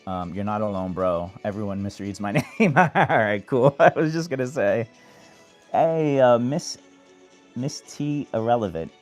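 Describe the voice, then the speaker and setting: A man from New York hosting a late-night phone livestream, giving earnest advice to viewers in a monotone way. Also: mid-range voice, measured pace, soft background music. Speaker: livestream host. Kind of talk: casual live chat answering viewers.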